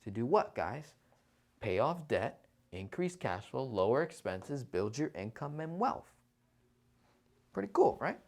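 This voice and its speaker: A man's voice: medium pitch, sing-song, a little slow, pauses often, darkish tone. A man hosting a casual livestream finance Q&A, talking persuasively and often checking in with listeners. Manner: conversational, persuasive, often checks in with "right?" and "okay?". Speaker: financial Q&A host.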